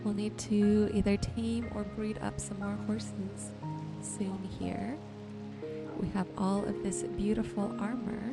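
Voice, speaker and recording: A woman softly and gently narrating her gameplay in an ASMR style, musing to herself as she goes. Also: medium pitch, level tone, slow pace, creaky, loud music behind the voice. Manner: soft-spoken, gentle musing to herself while sorting. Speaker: gaming video host.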